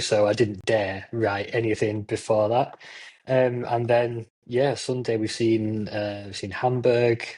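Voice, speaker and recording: A man, a football journalist, talking casually on a podcast with plenty of pauses and restarts. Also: fairly low pitch, a little mellow. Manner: rambling with many ums and restarts.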